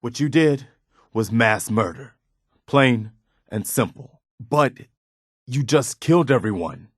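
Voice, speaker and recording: A man's voice: mid-range voice, expressive, takes it slowly, no echo, clean studio sound. An American man playing an earnest, principled militia soldier, a loyal and plain-spoken companion in a post-apocalyptic video game. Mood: stern, upset.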